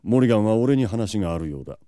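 A man's voice: on the low side, expressive, quick pace, warm tone, no room sound, clean studio sound, close to an everyday voice. A man playing a suave, proud, composed vampire lord in an audio drama, self-assured and dramatic. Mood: confident, cool. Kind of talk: anime-style audio drama acting.

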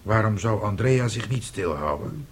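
An actor in a radio drama playing a passive, grumbling middle-aged husband with a husky voice and an evasive manner. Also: male voice, lowish voice, animated, normal speed. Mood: defensive. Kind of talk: radio drama acting in Dutch.

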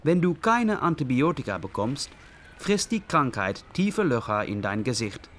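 An actor playing a grim radio play narrator, stark and deliberate, speaking in clipped phrases. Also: male voice, medium pitch, sing-song, a bit bright, slight background noise. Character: grim male narrator reciting a disease's course like tragedy.

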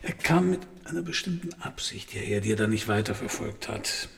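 An actor playing a cool, laconic Paris private detective in a German radio crime drama. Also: male voice, lowish voice, expressive, brisk, gravelly, slightly rough, exaggerated voice. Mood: calm, assured. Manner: laconic, dry. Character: cool, hard-boiled male Paris private detective narrating his case. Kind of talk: German radio crime drama, first-person narration and dialogue.

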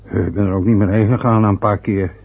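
An actor playing a fretful, rambling father turning sixty in an old radio play, with a husky voice. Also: lowish voice, fairly even intonation, brisk. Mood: musing. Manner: rambling, loses his thread. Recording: phone-like lo-fi old radio recording.

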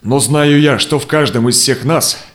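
An actor playing a steadfast, conciliatory Cossack envoy in a verse audio drama, speaking in measured, persuasive declamation. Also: male voice, mid-range voice, close to an everyday voice. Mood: calm, pleading. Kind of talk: theatrical verse drama acting.

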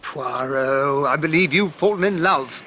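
An actor playing a loyal, naive upper-class English gentleman, the detective's earnest and bemused sidekick, who also narrates the radio drama. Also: a little high, unhurried, slight background noise. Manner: earnest, bemused, well-bred.

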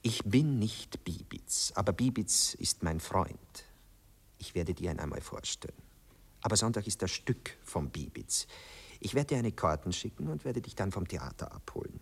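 An actor playing a dreamy, self-absorbed poet in a radio play, with a Viennese accent and a sentimental, often hushed delivery. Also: male voice, lowish voice, sing-song, moderate pace, vocal fry, husky, heavily acted. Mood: coaxing, self-important. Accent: Austrian, Viennese. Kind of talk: theatrical radio play acting.

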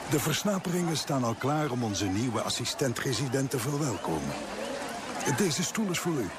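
An actor playing a courteous, deferential colonial district official in a radio drama. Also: male voice, mid-range voice, sing-song, normal speed, very noisy, close to an everyday voice. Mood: courteous, welcoming.

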